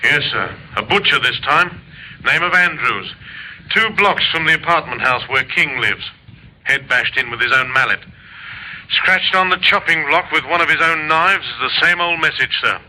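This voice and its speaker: An actor playing a dutiful police detective in an old radio mystery, reporting in clipped, respectful phrases. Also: male voice, fairly high pitch, animated, normal speed, sharp tone, exaggerated voice, rough recording. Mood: urgent, businesslike. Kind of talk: old-time radio drama acting.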